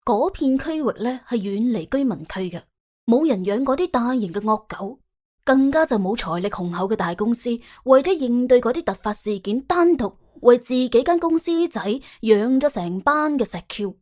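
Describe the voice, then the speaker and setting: A woman's voice: a little high, lively intonation, normal speed. A woman narrating a comic crime audiobook in a dramatised, colloquial storytelling style. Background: soft background music.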